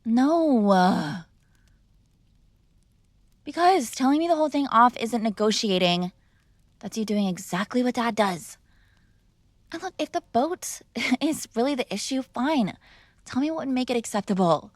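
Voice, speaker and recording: A woman's voice: on the high side, lively intonation, measured pace, pauses often, no echo, clean studio sound. A woman playing a spoiled, defiant mob boss's young daughter in a conversational, argumentative ASMR roleplay. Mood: firm, negotiating. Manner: conversational, sighing, coaxing and argumentative. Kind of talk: ASMR girlfriend roleplay, one-sided conversational acting.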